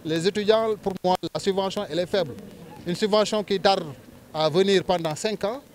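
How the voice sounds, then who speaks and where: A Senegalese-accented man with a somewhat high-pitched voice speaks as a local politician in an interview. He makes his pitch earnestly, stressing points by repeating phrases. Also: normal speed, darkish tone, slight background noise, low-quality recording. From Diourbel, Senegal. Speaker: local politician and civil servant.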